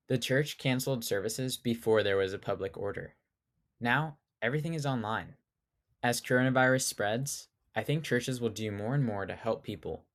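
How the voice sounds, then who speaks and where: A teenage young man reads his own essay aloud with a steady, earnest delivery. His voice sits at an ordinary middle pitch, and he grew up speaking Spanish at home. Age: teens. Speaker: student essayist. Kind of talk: scripted personal essay reading.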